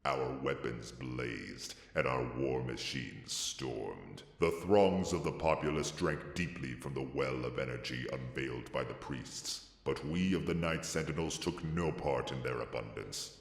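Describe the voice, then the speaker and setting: Ancient warrior chronicler, abyssal gravelly bass, solemn game lore narration, long cavernous reverb. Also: male voice, heavily acted. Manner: slow, solemn, ominous. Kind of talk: grandiose scripted lore narration.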